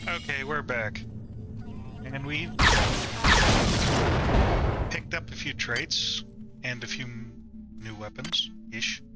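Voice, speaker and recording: Man thinking aloud over loud music. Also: mid-range voice, slow, pauses often. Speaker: game streamer. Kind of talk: casual gameplay commentary.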